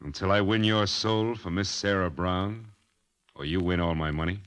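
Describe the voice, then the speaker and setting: An actor playing a cool, resolute high-rolling gambler, terse and unruffled, in old radio drama style. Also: male voice, steady tone, moderate pace, a bit bright. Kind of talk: 1940s-50s radio drama acting.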